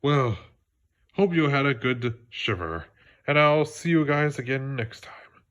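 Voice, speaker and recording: A man reading a storybook aloud for children in a deliberate, expressive way. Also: mid-range voice. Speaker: storybook reader. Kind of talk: children's read-aloud narration.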